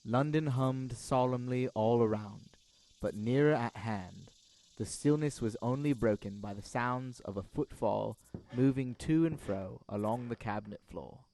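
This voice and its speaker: Young man as a measured Victorian mystery narrator. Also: medium pitch, mellow, lightly acted, low-quality recording. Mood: solemn, suspenseful. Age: young adult. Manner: measured, literary storytelling. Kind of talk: scripted audio drama narration.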